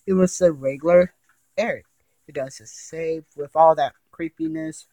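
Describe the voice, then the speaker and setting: A man telling a horror story informally, in a halting, rambling and repetitive way. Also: fairly high pitch, mellow, dry recording. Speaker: amateur storyteller reading a creepypasta. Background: ticking and chiming clock.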